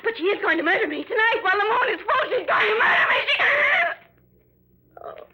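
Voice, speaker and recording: A woman's voice: big pitch swings, a little fast, sharp tone, exaggerated voice, poor audio. A woman playing a terrified, hysterical woman believed insane in a 1940s radio thriller, speaking with frantic urgency. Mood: hysterical terror. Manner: shrill, hysterical, breathless urgency.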